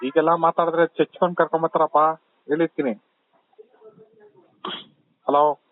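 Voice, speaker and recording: A man's voice: a little high, level tone, moderate pace, dry recording, lightly acted. A man with a Karnataka accent playing an insistent, unruffled hospital doctor in an improvised prank phone call. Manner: insistent, talks over objections. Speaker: prank caller. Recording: tinny narrowband phone line.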